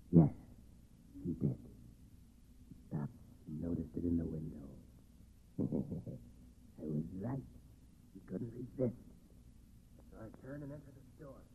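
An actor playing a vengeful, murderous weaver in a 1940s radio drama, intense and gloating. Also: male voice, rumbling low, vocal fry, exaggerated voice. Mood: sinister satisfaction. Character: vengeful murderous weaver luring a woman, brooding and obsessive. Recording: noisy, muffled 1940s radio recording.

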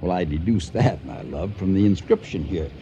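An actor playing an older, dry-witted husband in a radio drama, speaking in a measured, deliberate way. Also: male voice, low voice, animated, warm tone. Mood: dry, pondering. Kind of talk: 1980 radio drama acting. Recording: muffled radio recording, faint noise.

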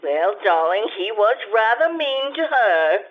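An actress playing a genteel, fretful society mother, proper and gently scolding, in an old-time radio style. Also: mid-range voice, sing-song, a little slow. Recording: muffled, noisy live radio stage recording.

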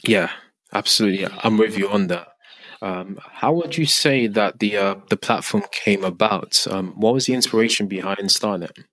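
A man's voice: on the low side, moderate pace, fairly bright tone, no echo. A man hosting a podcast, chatting casually with a guest, with many fillers and restarts. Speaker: podcast host. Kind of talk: casual interview chat. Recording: dry with faint music.

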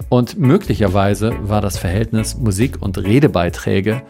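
A man's voice: lowish voice, moderate pace, gravelly. A man reads a scripted report with dry, mock-solemn irony, as a reporter commenting on events. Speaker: reporter and narrator. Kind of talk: scripted narration with ironic commentary. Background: music under the voice.

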